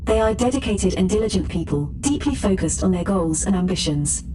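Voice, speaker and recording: A woman plays an impassive female ship computer in a sci-fi audio drama. The mid-pitched voice recites reports in formal, impersonal sentences. Clear ambient noise sits behind it. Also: lively intonation, brisk, mellow, lightly acted, poor audio. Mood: impassive, informative. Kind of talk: scripted sci-fi status report.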